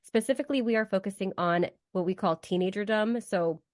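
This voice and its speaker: A woman co-hosting a podcast with a congested voice from a cold, chatting and explaining in a relaxed way. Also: mid-range voice, brisk, no room sound, clean studio sound. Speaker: podcast co-host. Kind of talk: casual podcast chat.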